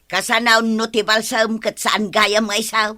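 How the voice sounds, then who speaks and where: A woman voices a curious village neighbor in a radio comedy. Her voice is bright and a little high, and she keeps pressing with questions. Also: level tone, measured pace. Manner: inquisitive, questioning. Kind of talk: radio comedy drama acting.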